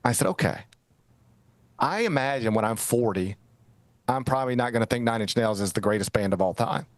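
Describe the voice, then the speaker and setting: A man's voice: medium pitch, a little fast, dry recording. A middle-aged man hosting a sports talk show, chatting casually and telling a rambling, self-mocking story. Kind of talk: casual radio-style chat.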